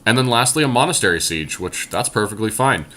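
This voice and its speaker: A man's voice: on the low side, expressive, a little fast, bright, forceful tone. A man co-hosting casual gameplay commentary, thinking aloud and explaining his choices as he goes. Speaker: podcast co-host and card game player.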